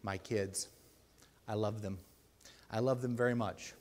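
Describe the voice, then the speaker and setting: A man with a deep voice preaching a sermon in a church, earnest and pleading, with loud emphatic bursts. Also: steady tone, unhurried. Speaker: lay preacher.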